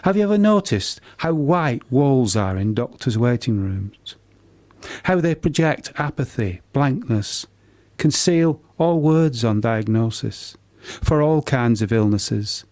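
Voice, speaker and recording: An older British man, a poet being interviewed, who speaks haltingly and often repeats the starts of his words. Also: mid-range voice, expressive, a little mellow. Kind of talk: casual radio interview.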